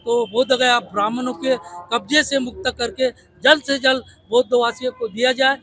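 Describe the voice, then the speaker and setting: A man's voice: extremely high pitch, fairly even intonation, moderate pace, sharp tone. A man with a Maharashtrian accent speaking as an activist in an impassioned interview, insistent and fond of repeated rhetorical questions. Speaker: protest leader and party activist.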